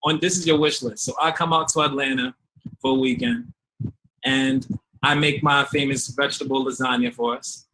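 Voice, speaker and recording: Bright, eager man on a video call. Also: medium pitch, normal speed, slight background noise, rough recording. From Trinidad and New York. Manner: upbeat, eager, animated. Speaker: contestant.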